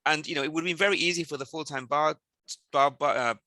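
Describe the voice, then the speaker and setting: A man from South Wales, a company CEO answering interview questions in quick, animated stories full of restarts. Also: a little high, dry recording, clean studio sound.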